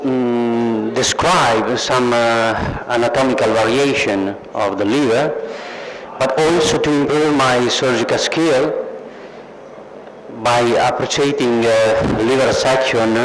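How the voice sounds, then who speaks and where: A man with an Italian accent gives an acceptance speech as an award recipient, haltingly searching for words. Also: mid-range voice, fairly even intonation, a crowd in the background. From Italy. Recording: noisy live event recording.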